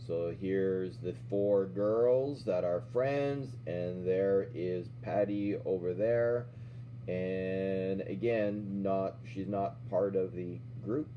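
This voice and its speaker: A middle-aged man reading a children's storybook aloud as an instructor, pausing to explain and check in with questions. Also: lowish voice, warm tone. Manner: checks in with questions, often ending "right?". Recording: dull lo-fi sound with faint hum.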